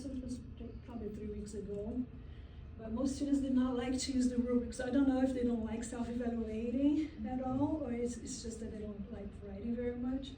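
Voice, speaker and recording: A Brazilian-accented woman with a fairly high voice talks her way through a conference presentation, relaxed and chatty, with fillers along the way. She is picked up from across an echoey hall with a steady hum of noise around her. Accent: Brazilian Portuguese. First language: Portuguese. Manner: conversational, with frequent fillers and soft laughs. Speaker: language teacher presenting at a conference. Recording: echoey hall recorded from a distance.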